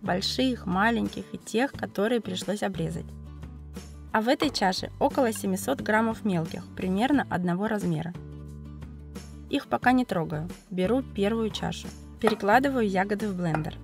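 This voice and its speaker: A woman with a cold, narrating a cooking video as its host, explaining each step calmly and methodically. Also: medium pitch, moderate pace, gravelly, faint music behind. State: has a cold, voice affected. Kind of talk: calm recipe voice-over narration.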